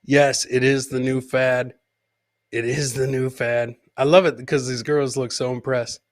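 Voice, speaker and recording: A man's voice: medium pitch, little pitch movement, measured pace. A man hosting a comedy podcast, giving joking commentary on clips, repeating his phrases for effect and mimicking the people he talks about. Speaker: host of a live comedy podcast.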